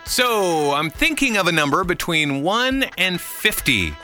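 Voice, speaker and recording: A male morning show host with a forceful, bright voice that swings widely in pitch. He banters and jokes teasingly over light music. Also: big pitch swings, a little slow. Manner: teasing, joking. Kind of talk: lively comedic radio banter.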